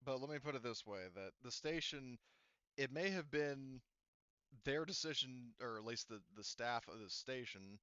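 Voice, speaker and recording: A man's voice: medium pitch, animated, normal speed, sharp tone, smooth, no room sound, muffled recording. A man running a tabletop roleplaying game as game master, explaining casually in a hedging way and repeating himself.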